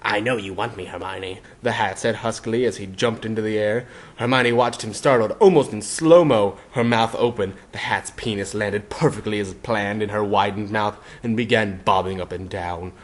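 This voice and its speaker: A man's voice: on the low side, moderate pace. A man narrating fan fiction as a mock-dramatic reading. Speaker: narrator, reader.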